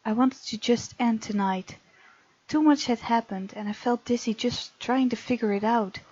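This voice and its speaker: A woman's voice: fairly high pitch, moderate pace, a little mellow, no echo, dull audio. A woman hosting a visual novel let's play, reading the game text aloud expressively and adding chatty reactions. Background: game music.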